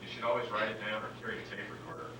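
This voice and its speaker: A man's voice: lowish voice, rapid-fire, close to an everyday voice. A man playing a genial, experienced comedian who gives polite advice in a conversational staged reading. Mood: advising. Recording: echoey room, distant, noisy.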